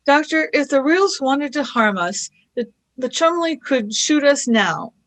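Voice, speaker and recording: A woman's voice: high-pitched, lively intonation, a little slow, a bit bright. A woman in a radio play acting an earnest, eager young companion with a pleading manner.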